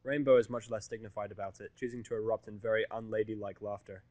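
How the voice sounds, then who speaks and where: A man's voice: lowish voice, level tone, fast, darkish tone, no echo. A man reading scripted first-person fiction in a wry, informal way. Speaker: audiobook-style fan fiction narrator.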